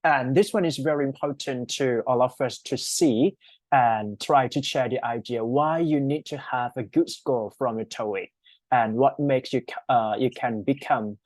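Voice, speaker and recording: A man's voice: mid-range voice, a little mellow, no echo. A man from Thailand with a Thai accent, a native Thai speaker, teaching an English class online and often checking in with short tag questions. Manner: checks understanding with frequent "right?" tags. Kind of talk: online class lecture explaining an exam task.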